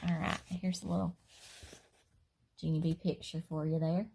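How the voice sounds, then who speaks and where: A woman's voice: deep voice, flat delivery, moderate pace, creaky, mellow, slight background noise. A woman teacher chatting casually to children before reading a storybook aloud, in a friendly, chatty way. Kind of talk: casual intro to a read-aloud, then storybook narration.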